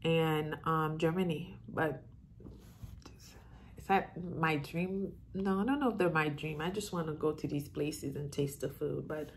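Haitian lady w a bit of southern accent, deep slow voice, thinking out loud, casual youtube chat. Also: a little hiss or hum. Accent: Haitian with a Southern US tinge. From Haiti, moved to the US as a child and grew up in Florida. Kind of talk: casual chat, answering viewer questions. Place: room in a house, indoors.

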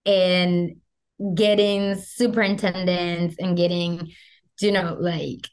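Young Venezuelan woman, chatty and animated. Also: on the low side, slow pace, smooth, rough recording. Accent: Venezuelan Spanish. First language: Spanish. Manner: animated, gestures a lot, many "like" fillers. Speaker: guest. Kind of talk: casual interview chat. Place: small room at home. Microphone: video call webcam.

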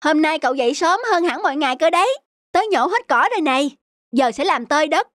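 A woman's voice: high voice, expressive, fast talker, fairly bright tone, dry recording. A woman voicing a kind, helpful young boy in a cartoon, earnest and encouraging. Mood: friendly, eager. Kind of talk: cartoon dubbing.

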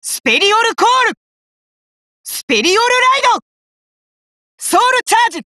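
Cocky young anime guy, really high forceful voice, rough talk, card game battle lines. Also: very animated, normal speed, no echo, clean studio sound, heavily acted. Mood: commanding, intense. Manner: arrogant, rough-talking, emphatic battle cries. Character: cocky, brash, hot-blooded young male card-game fighter.

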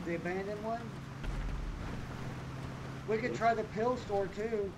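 An excitable man chatting and exclaiming as he plays an online game with friends. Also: sits high, takes it slowly. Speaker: gaming live streamer. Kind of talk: casual reactive game chat. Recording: clear game noise behind voice.

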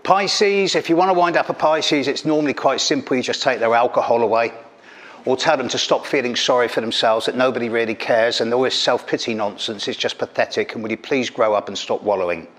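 A man's voice: mid-range voice, fairly quick, fairly bright tone. Caustic middle-aged man doing stand-up. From England. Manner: caustic, teasing, punchy delivery. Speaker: stand-up astrologer. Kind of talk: stand-up comedy routine. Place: stage of an event hall. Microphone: handheld mic.

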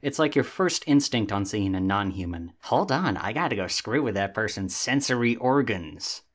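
A man's voice: fairly low pitch, expressive, normal speed. A man playing a sassy, vain young catboy roommate in an ASMR roleplay, talking in a casual, theatrically huffy way. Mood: huffy, smug.

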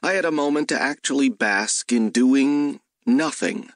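Unhurried male audiobook narrator, dry close sound. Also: mid-range voice, lively intonation, vocal fry, dry recording. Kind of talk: first-person scripted narration.

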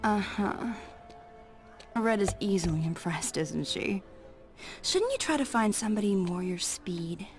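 A woman playing a sly, flirtatious thief in a game cutscene, teasing playfully in a creaky voice. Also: medium pitch, expressive, measured pace, long pauses, over music, lightly acted. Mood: mocking. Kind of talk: video game cutscene acting.

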